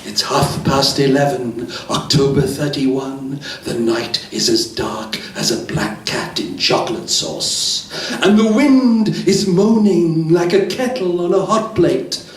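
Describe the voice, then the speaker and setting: A man's voice: a little high, animated, slow pace. A man reading spooky poetry aloud at a live event with a theatrical, dramatic delivery. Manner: dramatic, swinging from hushed suspense to loud chanting. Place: live poetry event indoors, heard from a distance. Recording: roomy live venue, distant mic, faint noise.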